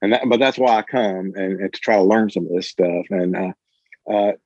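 A man talking casually in a group discussion, halting and often repeating his words as he goes. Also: sits low, a little mellow, no echo, lo-fi sound. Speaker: book club member.

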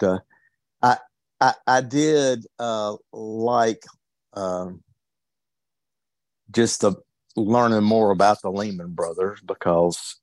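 A man with a fairly low voice speaks casually in a book group discussion. He moves haltingly, restarting and repeating short words many times before finishing a thought. The sound is dry, with little room in it. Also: no room sound. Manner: halting, heavily stammered repetitions of words.

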